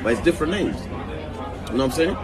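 A man's voice: on the high side, animated, a little fast, a crowd in the background. A man with an Ivorian accent explaining in a casual talk, repeating himself and often checking "you know what I'm saying". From Ivory Coast. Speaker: guest designer. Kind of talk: casual conversation.

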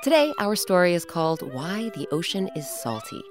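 A woman's voice: fairly low pitch, sing-song, moderate pace, over music. A woman hosting and narrating a children's story, with dramatic, emphatic phrasing. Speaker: host and narrator.

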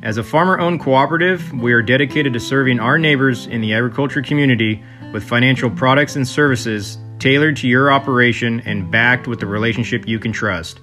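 A man reading a scripted advertisement in a steady announcer's delivery. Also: medium pitch, a little fast. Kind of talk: radio ad read. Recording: ad with music bed. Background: guitar music.

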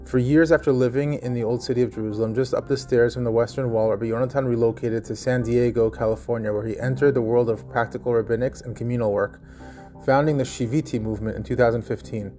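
Podcast host guy reading a formal intro, mid voice, soft guitar underneath. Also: medium pitch, fairly even intonation, quick pace. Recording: faint guitar music behind. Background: faint guitar music.

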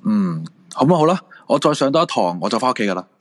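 A man's voice: medium pitch, sing-song, quick pace. A man with a Hong Kong Cantonese accent playing a bossy, flirtatious swimming coach in a radio drama. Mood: anxious, evasive.

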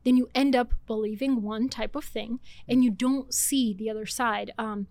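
A woman's voice: on the high side, no room sound. A woman from Bulgaria, in her thirties, talking animatedly as a guest author in a casual podcast conversation. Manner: animated, fast-talking, laughs often.

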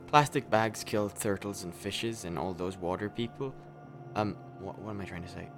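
A man's voice: fairly low pitch, moderate pace, vocal fry, over music. A man playing a nervous, apologetic killer in a darkly comic radio play, stammering and rambling with plenty of swearing. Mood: awkward, hesitant. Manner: stammering, hesitant, rambling, foul-mouthed.

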